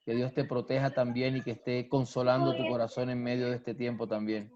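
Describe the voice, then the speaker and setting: A man's voice: mid-range voice, flat delivery, a little fast, a crowd in the background. A man, a pastor who prays and blesses people fervently over a video call, repeating his phrases. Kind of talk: preaching and greeting viewers online. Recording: dull muffled online stream audio.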